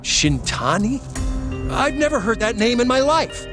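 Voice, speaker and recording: A jittery, defensive scientist in a game drama. His high voice jumps around as he falters. Music sits clearly behind him. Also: sing-song, unhurried, exaggerated voice. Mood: flustered, defensive. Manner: stammering, flustered. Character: nervous, defensive male research scientist, easily flustered. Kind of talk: video game drama acting.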